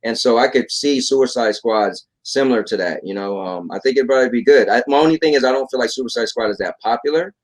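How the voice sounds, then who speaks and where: A man's voice: mid-range voice, quick pace, few pauses, poor audio. Man in rambling, casual conversation. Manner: rambling, repeats himself, checks with "right?" and "you know". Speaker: talk show panelist. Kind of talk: casual chat about games and films.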